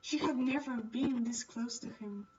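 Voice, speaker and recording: A woman's voice: on the high side, measured pace, a little mellow. A woman streaming a game, reading a visual novel aloud with casual asides. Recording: distant, slightly dull microphone.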